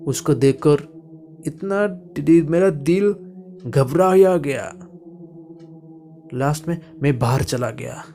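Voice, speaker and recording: A man narrating a first-person horror story dramatically, in halting short phrases that sometimes drop to a hush. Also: a little high, a little hiss or hum. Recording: music bed behind the voice.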